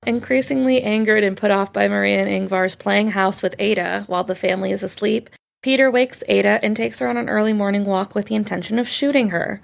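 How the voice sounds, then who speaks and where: A woman co-hosts a film podcast in a voice full of gravelly fry. Her pitch sits in the middle for a woman. She moves between informal, wry chat and reading out a summary. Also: a little fast. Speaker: podcast co-host. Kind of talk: casual film discussion mixed with read plot summary.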